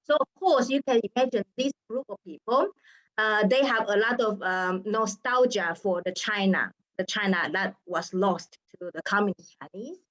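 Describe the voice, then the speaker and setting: Halting woman on a call. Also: medium pitch, a bit bright, muffled recording. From Taiwan. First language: Taiwanese Hokkien. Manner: thoughtful, halting, with frequent restarts. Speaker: researcher on a panel. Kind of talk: personal reflection in an online roundtable. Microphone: video call.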